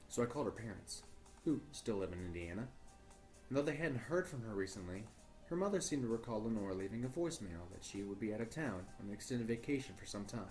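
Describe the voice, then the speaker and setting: A man's voice: lowish voice, expressive, darkish tone, lightly acted, low-quality recording. Man acting as earnest, troubled journalist over music. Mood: grave, earnest. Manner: deliberate, formal, storytelling delivery. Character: earnest, troubled male journalist recording his search for missing partner. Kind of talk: first-person horror audio drama monologue.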